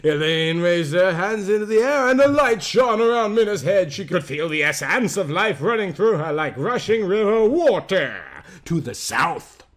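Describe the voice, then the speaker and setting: A man's voice: high voice, lively intonation, moderate pace, a bit bright. A man narrating a story in a melodramatic, amateur dramatic reading style.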